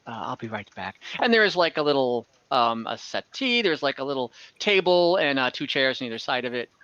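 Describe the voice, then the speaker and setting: A man improvising narration as game master of an online tabletop game, haltingly searching for words. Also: medium pitch, animated, pushed and bright, clear, resonant tone, no room sound, highs cut off.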